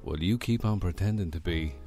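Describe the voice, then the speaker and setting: A man's voice: sits low, a little fast, dark tone, soft background music. A man playing a blustering, sceptical club boss in a comedy radio serial, dry and incredulous. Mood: determined.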